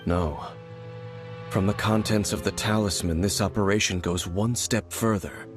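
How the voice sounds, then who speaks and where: A brooding, mysterious warrior played by a man speaks in a low voice over game music. He is stoic and aloof, with solemn, measured, formal phrasing suited to a fantasy video game. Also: fairly even intonation, lightly acted.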